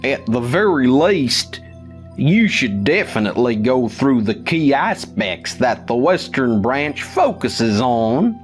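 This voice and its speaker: A man's voice: mid-range voice, very animated, slow. A man playing a conscientious, cautious office colleague in a manga dub, polite and earnest. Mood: concerned. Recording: music under the voice.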